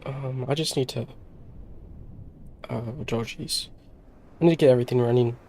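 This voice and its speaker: Woman, hoarse from being sick, dark mellow tone, rambling casual stream chat, desk mic. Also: extremely low pitch, moderate pace, slight background noise. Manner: rambling, many fillers and restarts.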